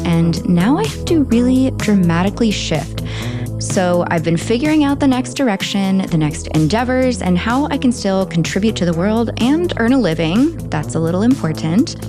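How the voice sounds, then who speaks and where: A woman's voice: mid-range voice, lively intonation, moderate pace, music in the background. A woman in her thirties hosting a podcast in casual, playful chat, often laughing. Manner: giggly, playful, chatty. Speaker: podcast host. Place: Paris.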